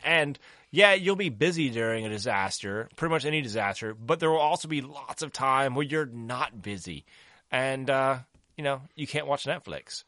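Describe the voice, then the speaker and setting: Bright-voiced Southern man bantering on a podcast. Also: mid-range voice, dry recording, clean studio sound. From Southern United States. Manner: joking, profane, rambling with restarts. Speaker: podcast co-host.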